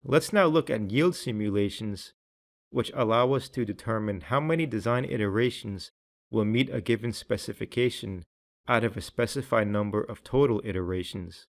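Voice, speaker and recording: Man narrating a scripted software tutorial. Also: lowish voice, normal speed.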